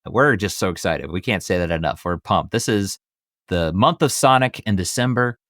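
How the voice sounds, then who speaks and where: Male podcast host, thirties, low voice, dry close sound, rambling and emphatic in casual review chat. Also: animated, normal speed, a bit bright, no room sound.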